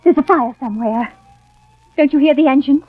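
A woman's voice: high-pitched, brisk, dry recording, natural voice. An actress playing an evasive, secretive wife who placates her husband, in a 1940s radio drama. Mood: quietly uneasy. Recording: dull vintage radio recording with music.

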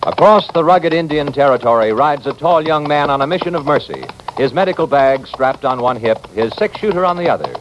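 A man announcing a 1950s radio western in a grand, emphatic, dramatic style with drawn-out key words. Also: a little high, lively intonation, background noise. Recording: dull old radio recording, loud music.

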